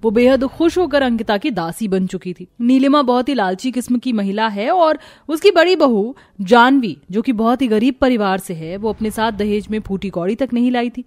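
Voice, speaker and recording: A woman reads a scripted family story as narrator. Her voice is fairly high, and the recording is dry with very little room sound. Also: lively intonation, normal speed, few pauses, a little mellow, no room sound.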